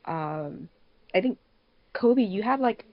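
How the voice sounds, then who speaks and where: A woman's voice: medium pitch, expressive, slow pace, dry recording, lo-fi sound. A giggly, rambling woman hosting a casual group podcast. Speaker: podcast host, fandub production crew member.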